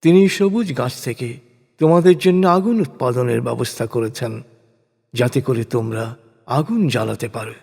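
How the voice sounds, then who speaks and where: A man reading scripture in translation as solemn scripted narration, in a measured, declamatory manner. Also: medium pitch, gravelly, a little mellow, husky. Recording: long hall-like reverb.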